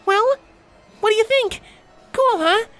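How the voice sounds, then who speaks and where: A man voicing an excitable, bubbly young female pony in a fan fiction story reading. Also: extremely high pitch, takes it slowly, soft background music, pitched above the performer's own voice, full character voice. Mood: bubbly, excited. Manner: exuberant, girlish.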